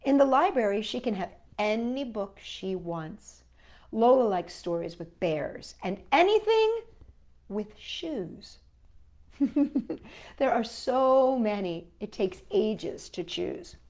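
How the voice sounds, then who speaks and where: A woman's voice: medium pitch, sing-song, slow, a little mellow. A woman hosting a children's storytime, reading a picture book expressively and chatting to young listeners in asides.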